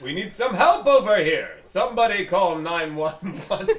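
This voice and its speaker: A man's voice: high-pitched, big pitch swings, normal speed, fairly bright tone. A man playing a desperate, protective, foul-mouthed detective, pleading urgently at a script rehearsal. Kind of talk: table read of a fan film script. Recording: echoey room, distant mic, people laughing.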